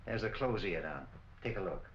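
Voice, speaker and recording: Police doc, matter of fact, unhurried, muffled old 1940s movie with light hiss. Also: male voice, low voice, animated. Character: matter-of-fact middle-aged police coroner. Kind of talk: 1940s film acting. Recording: dull, faintly noisy 1940s film soundtrack.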